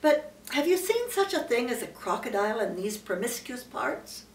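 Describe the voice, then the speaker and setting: A woman's voice: fairly high pitch, sing-song, moderate pace, pitched above the performer's own voice. Storybook baby elephant, male, curious and well-mannered, read by an older woman; roomy, distant recording. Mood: polite, curious. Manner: polite, earnest questioning. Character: curious, polite young male elephant child. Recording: roomy, distant mic, faint background noise.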